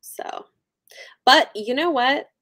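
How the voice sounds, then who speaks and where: A woman's voice: high voice, slow, fairly bright tone. A young adult woman co-hosting a book livestream in a casual, musing way, often trailing off. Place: room at home, indoors.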